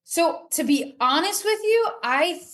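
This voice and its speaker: A woman's voice: extremely high pitch, animated, measured pace, a bit bright. A woman talking casually as an interview guest, telling stories and acting out bits of dialogue. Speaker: podcast guest, cornhole player.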